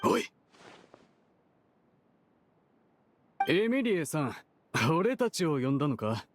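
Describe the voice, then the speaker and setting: Sketchy merchant, kinda high voice, very animated, condescending threats, game villain type. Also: male voice, moderate pace, background noise. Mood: wary. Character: shady, intimidating merchant man, smooth-talking and menacing. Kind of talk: Japanese game dub dialogue.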